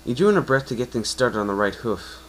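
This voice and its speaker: A man's voice: medium pitch, animated, fast talker, a little hiss or hum. A man reading fan fiction aloud as a dramatic second-person narration, now and then tripping over phrases. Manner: stumbles and rereads phrases.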